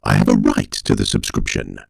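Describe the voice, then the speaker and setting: A man playing an imperious, menacing villain from a sci-fi audio drama parody, with a croaking voice. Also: a little fast, no echo, studio quality, soft background music, lightly acted. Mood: imperious, demanding. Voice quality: very deep, gravelly, croaking. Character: imperious villainous alien podcaster demanding subscribers, theatrically menacing.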